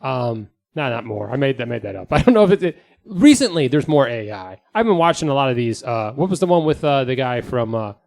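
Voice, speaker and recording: A man's voice: mid-range voice, big pitch swings, fairly quick, vocal fry, few pauses, a little mellow. A man hosting a podcast in casual, joking group chat, rambling and correcting himself as he goes. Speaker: podcast host.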